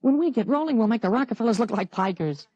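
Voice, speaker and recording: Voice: mid-range voice, expressive, quick pace, darkish tone, dry recording, lo-fi sound, close to an everyday voice. A performer in a 1950s radio drama playing a confident, urgent teenage boy who talks like a grown soldier. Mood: confident, persuasive. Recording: dry vintage 1950s radio recording.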